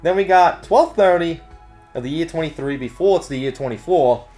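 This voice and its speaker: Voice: medium pitch, moderate pace, warm tone, soft background music. A co-host of a store news show, reading out event listings with casual banter and playful joking asides.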